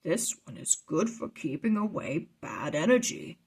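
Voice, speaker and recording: A woman voicing a calm, kindly male wizard mentor who explains things in a measured way for a storybook. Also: low voice, vocal fry, husky, voice pushed down, heavily acted. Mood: friendly, hospitable.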